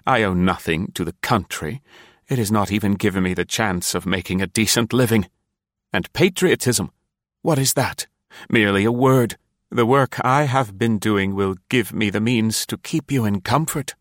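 A man's voice: on the low side, normal speed, dry recording, clean studio sound, voice pushed up, lightly acted. Man acting an ardent, imploring spy, voice lifted. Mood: defensive. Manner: dogged, imploring, melodramatic. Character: ardent, defensive male spy pleading with his lover.

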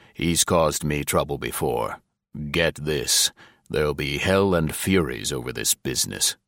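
A man's voice: rumbling low, fairly bright tone, no echo, clean studio sound. A man voicing a blunt, impatient government chief who growls his orders, in a story reading. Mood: gruff. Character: gruff blustering male government chief.

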